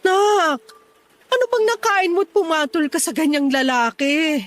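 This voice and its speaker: A woman's voice: very high-pitched, measured pace. A woman playing a worried, devout middle-aged mother in a radio drama, firm and pleading as she admonishes. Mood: scolding. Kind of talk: Tagalog radio drama acting.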